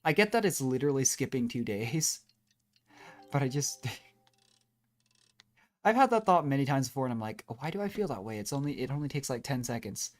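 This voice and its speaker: A woman's voice: a bass voice, animated, normal speed, long pauses, darkish tone. A woman doing casual live game commentary, musing and rambling as she plays. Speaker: game streamer. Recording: faint game music behind. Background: video game music.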